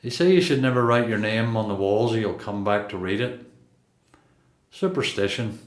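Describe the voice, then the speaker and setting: An actor with a Northern Irish accent playing a seasoned, quietly defiant long-term prisoner in a radio play. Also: male voice, fairly low pitch, normal speed, natural voice. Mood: reflective. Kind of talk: radio play dialogue and narration. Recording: echoey room.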